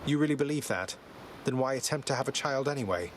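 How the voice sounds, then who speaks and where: A man playing a defiant but courteous young prince in a video game, speaking in a measured, wry way. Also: mid-range voice, expressive, noisy background, natural voice. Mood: defiant, challenging. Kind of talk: dramatic video game acting.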